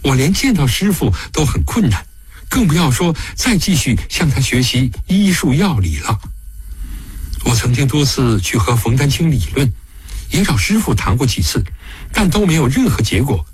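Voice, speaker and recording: A man narrating an audiobook, voicing a sorrowful, devoted young woman prisoner who speaks softly, haltingly and pleadingly. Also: mid-range voice, big pitch swings, husky, no room sound. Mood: sorrowful, subdued.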